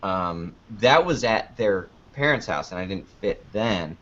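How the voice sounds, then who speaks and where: A man with a fairly low voice answers interview questions casually. He talks in a joking, anecdotal way and voices bits of remembered conversations. The sound is somewhat dull and lo-fi. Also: expressive, unhurried, a bit bright. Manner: joking, anecdotal, acts out quoted exchanges. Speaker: podcast producer and video creator being interviewed. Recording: dull lo-fi audio.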